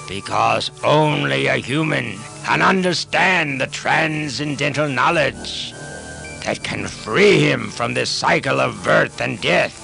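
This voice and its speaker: An actor playing a stern servant of the lord of death in a dramatized scripture audiobook, speaking in formal, deliberate pronouncements with a gravelly voice. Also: male voice, mid-range voice, sharp tone, exaggerated voice.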